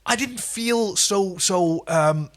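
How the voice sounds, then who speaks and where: A man's voice: sits high, slow, fairly bright tone. A man co-hosting a podcast, giving casual, analytical and enthusiastic commentary on a television show. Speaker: podcast co-host.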